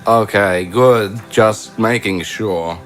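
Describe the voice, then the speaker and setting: A man's voice: lowish voice, takes it slowly, a bit bright, soft background music. A man playing a wry, teasing toy in an improvised comedy audio drama, with a dry manner.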